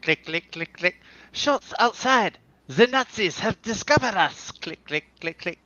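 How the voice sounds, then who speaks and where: A nervous young radio operator, as a man puts him on in a comic-book reading, talking in a very high, bright, strained voice. He sounds hurried and tense. The recording is dull and lo-fi. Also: animated, no room sound, exaggerated voice. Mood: urgent.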